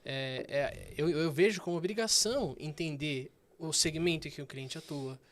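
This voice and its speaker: A man, a guest banker sharing stories in a casual interview with animated delivery and frequent fillers. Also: a little high, normal speed.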